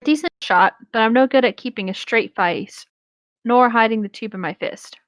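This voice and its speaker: A woman with a fairly high voice talks in a casual, conversational way as co-host of a read-aloud. The sound is dry, with very little room in it. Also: moderate pace, no room sound. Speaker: co-host of a story reading.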